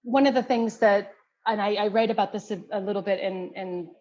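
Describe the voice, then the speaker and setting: A woman's voice: medium pitch, steady tone, brisk, a little mellow, a little hiss or hum. Thoughtful middle-aged woman, lo-fi. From United States. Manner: thoughtful, many restarts and hedging fillers. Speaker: guest anthropologist. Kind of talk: casual interview answer.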